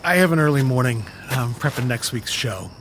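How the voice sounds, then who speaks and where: Gentle, reserved man, played by an actor. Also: mid-range voice, expressive, brisk, background noise, natural voice. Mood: shy, reserved. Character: earnest, gentle podcast host, self-doubting man. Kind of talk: naturalistic audio drama acting.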